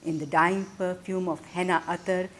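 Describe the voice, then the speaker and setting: A woman gives a dramatic, expressive literary reading, deliberate in delivery, with emphatic swells and hushed phrase endings. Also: lowish voice. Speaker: reader of a literary short story.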